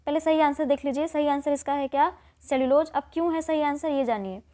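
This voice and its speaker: A woman's voice: sits high, a little fast, dark tone. A woman with an Indian accent teaching an exam lesson, explaining questions and answers and often rephrasing key points.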